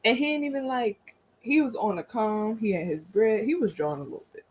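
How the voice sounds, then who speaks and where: A woman's voice: a little high, brisk, breathy edge. A young woman co-hosting a casual podcast, blunt and skeptical, talking in heavy slang. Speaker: podcast co-host. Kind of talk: casual chat.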